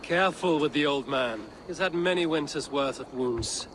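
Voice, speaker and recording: Casting: male actor for blunt Viking fighter, wry humour, slightly high voice, game dialogue over clear ambient noise. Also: normal speed, fairly bright tone, natural voice. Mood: firm. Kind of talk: video game voice acting.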